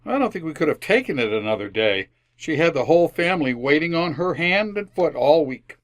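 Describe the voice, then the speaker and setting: A man's voice: on the high side, very animated, fairly quick. An amateur actor playing a flustered, earnest family man in an old-time radio sitcom, hesitant and prone to speechifying. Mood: eager, flustered. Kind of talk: re-created 1950s radio sitcom acting.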